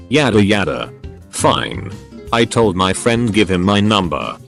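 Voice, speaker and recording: A man narrating short online stories in a scripted, recited read-aloud style. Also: fairly low pitch. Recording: music behind the voice. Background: background music.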